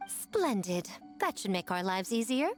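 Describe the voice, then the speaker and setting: A woman's voice: medium pitch, sing-song, a little slow, soft background music. A woman playing a self-assured, teasing kitsune in a video game, poised and playfully haughty. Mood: smug, composed. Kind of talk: English video game voice acting.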